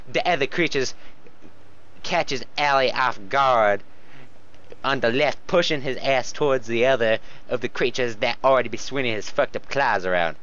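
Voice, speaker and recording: A man's voice: mid-range voice, fairly bright tone. A man reading fan fiction aloud for laughs, with casual banter and frequent giggly breaks. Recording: muffled, noisy home recording.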